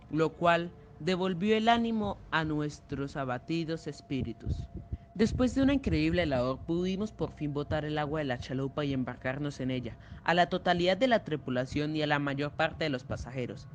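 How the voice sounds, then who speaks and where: A man's voice: fairly high pitch, background noise. A young man reading a scripted, dramatic story as narrator. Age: young adult. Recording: music playing clearly behind the voice.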